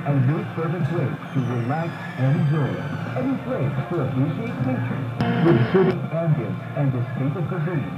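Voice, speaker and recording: A man's voice: a little high, sing-song, normal speed, over loud music. A man reading a scripted promotional advertisement as a commercial announcer. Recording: very noisy lo-fi AM radio.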